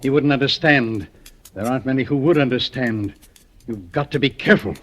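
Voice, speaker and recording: A man's voice: medium pitch, lightly acted. A man playing a grave, cautioning father. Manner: measured, cautioning. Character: stern, secretive older father. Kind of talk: 1950s radio drama acting.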